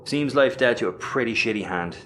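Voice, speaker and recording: A man's voice: medium pitch, lively intonation, brisk, a bit bright, soft background music, lightly acted. A man with an Irish accent playing a roguish, sarcastic space captain in a sci-fi audio comedy, wry and sweary. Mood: gruffly generous.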